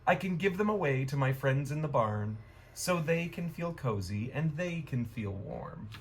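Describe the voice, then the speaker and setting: A man voicing a sweet, excitable, kind-hearted young female pig in a storybook, earnest and wide-eyed. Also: on the high side, measured pace, slight background noise. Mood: cheerful.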